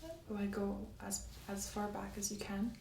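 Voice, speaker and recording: A soft-spoken woman doing an ASMR medical roleplay as a doctor, giving gentle, polite step-by-step instructions. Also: medium pitch, animated, normal speed, creaky, recorded from a distance. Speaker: ASMR performer playing a doctor. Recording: roomy sound, off-mic, faint hiss.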